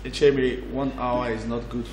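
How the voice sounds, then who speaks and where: A man's voice: mid-range voice, little pitch movement, measured pace, crowd noise. A man with a Nigerian accent hosting a live television call-in show, courteously addressing callers as he goes. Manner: courteous, often answers with sir. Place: television studio. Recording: echoey studio, background noise.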